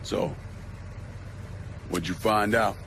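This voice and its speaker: Low-voiced, terse crime boss. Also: male voice, fairly even intonation, extremely slow, creaky, a bit bright, a little hiss or hum, exaggerated voice. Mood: businesslike. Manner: terse, blunt, commanding. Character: tough, determined crime boss plotting revenge. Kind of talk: video game cutscene acting.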